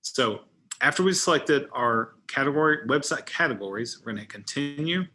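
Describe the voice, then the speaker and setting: A man's voice: mid-range voice, expressive, normal speed, bright, forceful tone, slight background noise. A man giving an informal tutorial as a trainer, thinking aloud as he demonstrates each step on screen. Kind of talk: informal screen-share walkthrough.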